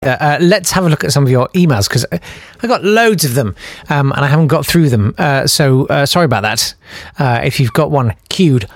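A man chatting through listener emails with plenty of ums and asides, his pitch swinging freely as he jokes. The sound is close and dry, with no room around it. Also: animated, fairly quick, few pauses, no room sound. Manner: rambling, jokey, lots of fillers. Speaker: podcast host reading listener emails. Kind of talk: casual chat.

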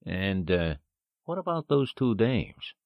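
A man's voice: fairly low pitch, sing-song, slow, gravelly, dry recording, highs cut off, heavily acted. An actor playing a tough, slangy, wisecracking gangster henchman in a 1940s-style radio play. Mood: slangy, eager. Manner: slangy, tough-guy talk. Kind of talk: 1940s radio crime drama acting.

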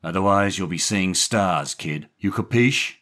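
A man voicing a gruff, short-tempered American gangster who barks slangy threats in a story reading. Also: low voice, lively intonation, a little slow, a bit bright, voice pushed up, exaggerated voice. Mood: menacing.

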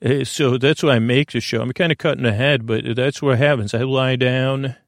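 Creaky-voiced man rambling soothingly. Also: mid-range voice, fairly even intonation, dry recording, studio quality. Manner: meandering, halting, trailing off into tangents; lulling, soothing. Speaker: host of a bedtime sleep podcast. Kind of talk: rambling bedtime monologue.

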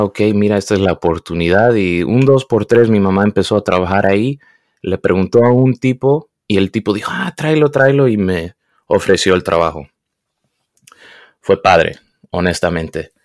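A young man raised in the United States, speaking casually and often pausing to search for words. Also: low voice, no echo, clean studio sound. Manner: hesitant, searches for words, mixes in English. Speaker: guest. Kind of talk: casual conversation.

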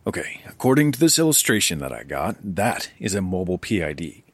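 Guy acting guarded tired survivor type, low voice, dry sound, kinda halting chatty delivery, audio drama. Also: very animated, breathy edge, no echo, exaggerated voice. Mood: intrigued.

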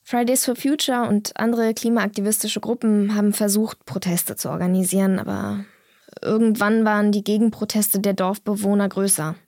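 A young woman speaks as an interview guest in a medium-pitched voice. She answers conversationally but bluntly, with firm opinions, recorded dry with hardly any room around her. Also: flat delivery, moderate pace, a little mellow, dry recording.

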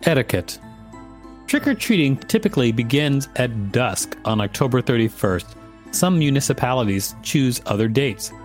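A man's voice: mid-range voice, very animated, moderate pace. A man with a mid-range voice co-hosts a podcast. He reads article text aloud and banters casually, with music underneath. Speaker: podcast co-host.

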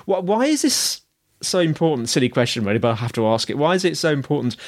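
A man's voice: fairly high pitch, very animated, fast talker. Guy hosting a podcast, friendly, rambles through questions w fillers. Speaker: podcast host. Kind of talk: podcast interview.

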